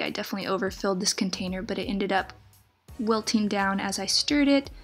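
A woman's voice: mid-range voice, husky. A young woman hosting a cooking channel, giving a chatty, enthusiastic recipe voice-over. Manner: upbeat, chatty, enthusiastic about food. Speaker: plant-based cooking channel host. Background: soft background music.

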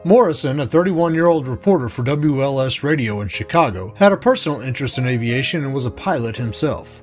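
A man's voice: mid-range voice, a little mellow. A man reading scripted documentary narration.

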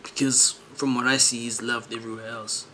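A man's voice: mid-range voice, flat delivery, brisk, pushed and bright, a little hiss or hum, rough recording. A young man from South Africa giving casual, slangy reaction commentary as a video creator. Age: young adult.

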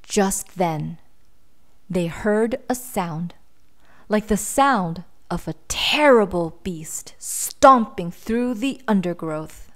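A woman reading a children's storybook aloud in an expressive, dramatic, deliberate style. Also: mid-range voice. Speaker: storybook reader.

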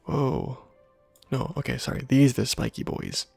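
A man softly whispering casual, rambling ASMR commentary over a video game, with a breathy, husky voice. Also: medium pitch, sing-song, normal speed, no echo, faint music behind. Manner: soft-spoken, rambling to himself. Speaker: ASMR gaming host.

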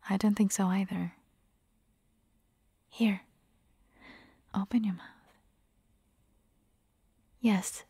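A very unhurried, soft and breathy female voice, often sinking into a whisper with a crackle of fry. A woman plays a caring, gently bossy vampire hunter girlfriend in an intimate ASMR roleplay. The sound is close and dry, tender with a teasing edge. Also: medium pitch, no room sound. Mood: playful teasing. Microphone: close microphone.

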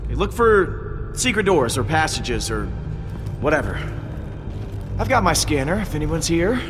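An actor playing a cocky, slangy young American space adventurer in a video game, with a wry manner. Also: male voice, on the high side, very animated, normal speed, long reverb tail, over music. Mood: wry, brisk. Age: young adult.

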